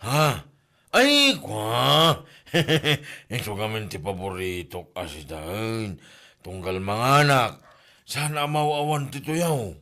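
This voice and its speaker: A man's voice: on the low side, expressive, slow, sharp tone, full character voice. An actor playing a flirty, evasive married man in an Ilocano comedy drama, with an exclamatory, stammering manner and an Ilocano accent. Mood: flustered, flirty.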